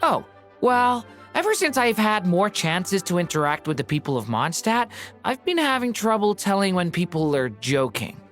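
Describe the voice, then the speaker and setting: A naive storybook-born youngster in an anime-style game. The voice is slightly low yet very expressive, earnest and polite, over soft music. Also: female voice, moderate pace. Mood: sheepish, explaining.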